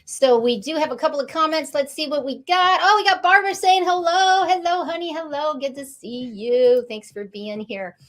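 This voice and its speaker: A woman's voice: high-pitched, moderate pace, hardly any pauses, fairly bright tone. A woman hosting an online interview over a video call, gushing and enthusiastic, often repeating herself. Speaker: host. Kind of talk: upbeat online interview chat.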